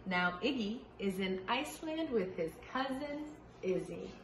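A middle-aged woman preschool teacher reading and teaching to young children in a clearly enunciated, sing-song way, recorded in a room from a few feet away. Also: mid-range voice, lively intonation, a little slow, roomy sound, slight background noise, rough recording. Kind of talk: children's story reading and letter lesson. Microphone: camera a few feet away.

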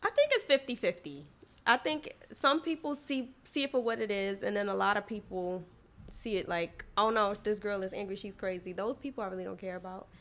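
A woman's voice: mid-range voice, brisk. A young woman from Spanish Harlem with a New York accent, talking bluntly and with energy as a podcast guest. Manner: blunt, animated storytelling. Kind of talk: casual chat. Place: small stage studio, indoors. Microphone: stand mic.

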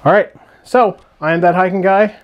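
A man's voice: high-pitched, steady tone, normal speed, lo-fi sound, slight background noise. A man hosting a hiking channel, reviewing a sleeping bag in a loose, rambling way with many restarts and fillers. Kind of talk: casual gear review.

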